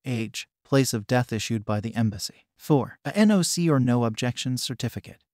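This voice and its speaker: A man narrating an informational script in an even, measured reading voice, recorded close on a voice-over mic. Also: medium pitch, no room sound.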